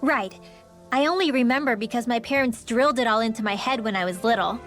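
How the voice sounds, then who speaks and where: A woman's voice: on the high side, fast, fairly bright tone, close to an everyday voice. A woman voicing a spirited, nosy teenage girl adventurer in a video game, pert and needling. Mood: matter-of-fact. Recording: studio voice over game music.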